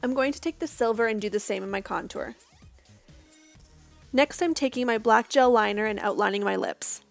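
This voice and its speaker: A young woman, hoarse from losing her voice, giving a casual step-by-step makeup tutorial voice-over. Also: on the high side, normal speed, faint music behind. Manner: casual, chatty, reassuring.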